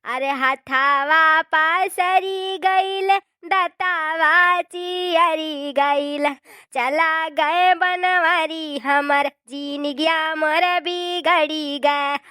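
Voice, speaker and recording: A woman voicing a comic village widow in mourning for a cartoon, in an Awadhi accent, with drawn-out singsong lamenting. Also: high voice, steady tone, pushed and bright, no room sound, clean studio sound, faint music behind, exaggerated voice. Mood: wailing grief. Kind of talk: cartoon comedy mock lament.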